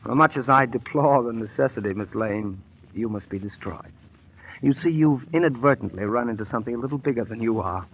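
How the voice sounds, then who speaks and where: A man's voice: mid-range voice, fairly quick, husky. An actor playing a cold, mocking male mad scientist who chuckles as he threatens, in 1940s radio drama style, on a tinny old broadcast. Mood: gloating. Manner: suave, chuckling menace.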